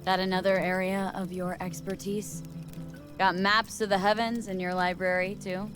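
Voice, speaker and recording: A woman's voice: medium pitch, flat delivery, normal speed, close to an everyday voice. An actress playing a jaded, sardonic woman mercenary in a video game, dry and clipped. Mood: dry, tired. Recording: loud game music over voice. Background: loud music.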